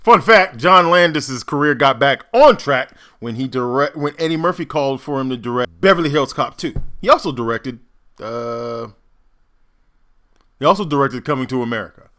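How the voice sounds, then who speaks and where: A man hosting a reaction video, making casual, animated asides while he watches. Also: mid-range voice, moderate pace.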